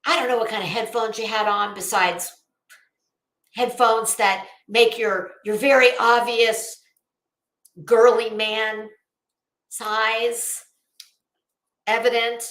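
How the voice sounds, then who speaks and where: Slow, high-voiced woman, sarcastic and echoey. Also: steady tone, fairly bright tone. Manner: sarcastic, mocking. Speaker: online commentator. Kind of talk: rambling, casual live-stream commentary. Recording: roomy, echoey sound.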